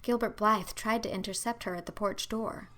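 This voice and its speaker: A woman's voice: mid-range voice, steady tone, normal speed, background noise. A woman reading a children's story as scripted narration, in a theatrical, emphatic storytelling manner. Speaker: audiobook narrator.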